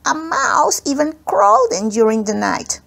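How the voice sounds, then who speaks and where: Woman voicing a squeaky, high little boy. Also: very animated, measured pace, gravelly, fairly bright tone, full character voice. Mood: complaining. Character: small frightened boy in a children's adventure.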